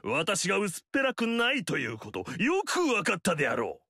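An actor playing a pompous, vain noble warlord in a video game, speaking haughtily. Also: male voice, sits high, wildly swooping pitch, fairly quick, bright, forceful tone, slightly rough, no echo, exaggerated voice. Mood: smug. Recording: dry studio recording.

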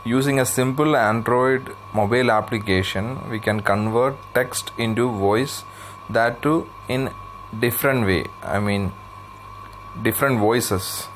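A man's voice: medium pitch, unhurried, fairly bright tone, a little hiss or hum, rough recording. A man presenting a simple how-to tutorial as an unscripted voice-over. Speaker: app tutorial host.

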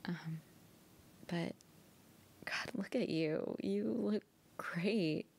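A woman's voice: lowish voice, extremely slow, a little mellow, exaggerated voice. A young woman playing a shy, flustered woman reuniting with an old flame in an intimate, hesitant, giggly ASMR roleplay. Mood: soft, nostalgic. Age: young adult. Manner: hesitant, giggly, often breathy asides. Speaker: girlfriend roleplay audio performer.

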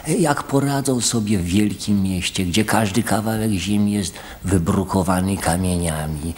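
An actor playing an earnest, worried villager in a chorus, declaiming solemnly in a radio drama. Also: male voice, low voice, measured pace, darkish tone. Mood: worried, questioning. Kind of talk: theatrical radio drama acting.

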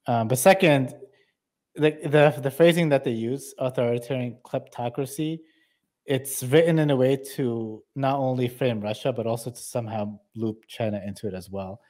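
Analytical male co-host, middle-pitched. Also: moderate pace, a little mellow. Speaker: show co-host. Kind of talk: casual political commentary. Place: indoor room, livestream video call.

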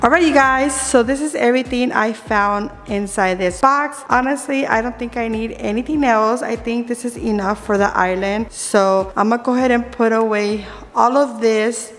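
A woman in her thirties talks viewers through cooking in her own kitchen, casual and chatty, mixing in bits of Spanish. Her voice sits a little high. The room echoes with a long tail, and music plays clearly behind her. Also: normal speed, few pauses. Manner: chatty, switches into Spanish phrases. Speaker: vlogger. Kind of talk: casual cooking vlog chat. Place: large home kitchen. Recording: roomy kitchen echo with background music.

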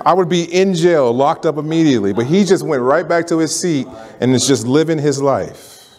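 Casting: male pastor in his thirties, easygoing pulpit preacher, mid-pitched, lively when making a point. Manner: conversational preaching, rising animated for emphasis. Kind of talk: sermon. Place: church sanctuary, standing at a pulpit. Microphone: pulpit microphone.

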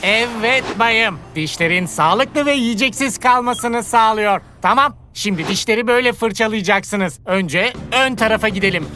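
Jolly high-voiced talking toothbrush. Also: female voice, moderate pace, hardly any pauses, sharp tone, faint music behind. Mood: jolly, instructive. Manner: instructive, jolly, addressing listeners directly. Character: friendly, helpful talking toothbrush who teaches brushing. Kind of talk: children's cartoon dialogue.

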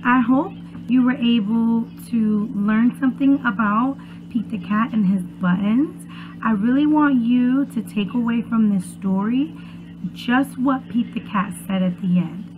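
High-pitched young woman reading to preschoolers. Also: measured pace, noisy background. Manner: expressive, encouraging, addressing young children. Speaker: preschool teacher. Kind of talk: children's read-aloud and chat. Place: small furnished room at home, indoors.

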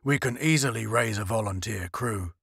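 A man voicing a calm, determined male space officer in a story reading. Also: fairly low pitch, moderate pace, gravelly, husky, no room sound, studio quality, full character voice. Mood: steady.

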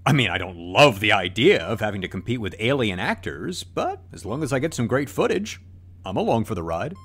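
Man as a husky, melodramatic documentary maker. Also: on the low side, big pitch swings, fairly quick, a bit bright, heavily acted. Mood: breezy, dramatic. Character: dramatic, carefree male documentary maker, self-narrating adventurer. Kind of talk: podcast comedy-adventure acting.

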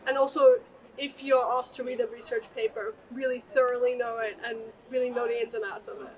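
A young woman from Austria answers questions about doctoral study in a thoughtful way, with occasional fillers and restarts. Her voice sits slightly above average pitch and sounds a bit distant from the mic in a large indoor atrium. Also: little pitch movement, a little fast. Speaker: PhD student. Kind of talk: answering interview questions to camera.

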